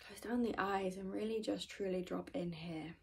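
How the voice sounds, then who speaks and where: Soft-spoken woman guiding meditation, creaky voice. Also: mid-range voice, normal speed. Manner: gentle, soothing, often trailing into breathy whispers. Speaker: meditation guide.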